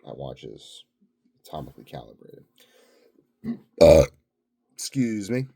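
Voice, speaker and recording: A man's voice: rumbling low, sing-song, measured pace, warm tone. A tired, sleepy man rambling through an unscripted video diary in a dry, tongue-in-cheek, grandiose way. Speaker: vlogger, channel host.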